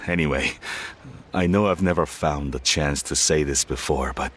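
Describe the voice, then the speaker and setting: An actor playing a middle-aged father in a video game, gruff but softening and plainspoken, proud of his son. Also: rumbling low, normal speed. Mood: tender, halting.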